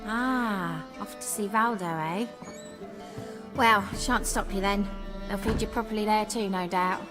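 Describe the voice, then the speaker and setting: An actress with a British accent playing a feisty, friendly young tavern waitress in a fantasy game, with a playful, teasing manner. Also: female voice, mid-range voice, a little slow, over music, natural voice.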